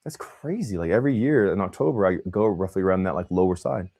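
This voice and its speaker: A man's voice: deep voice, fast talker. A young man hosting a casual livestream, talking in a loose, slangy way and calling people bro and man. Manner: animated, slangy, says bro and man a lot. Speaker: host.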